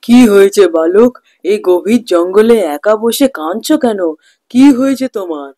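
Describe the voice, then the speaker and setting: A woman plays a generous male sage of the forest in a children's cartoon fairy tale. He talks in a fatherly, repetitive way at a middle pitch, recorded close and dry. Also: lively intonation, normal speed, dark tone, no echo, soft background music, close to an everyday voice. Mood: kindly, reassuring.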